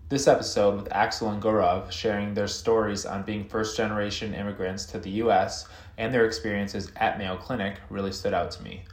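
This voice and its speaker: A man co-hosting a podcast recommends an episode with enthusiasm. His voice is lowish. A roomy echo surrounds it. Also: flat delivery, brisk, hardly any pauses. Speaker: podcast co-host. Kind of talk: scripted recommendation.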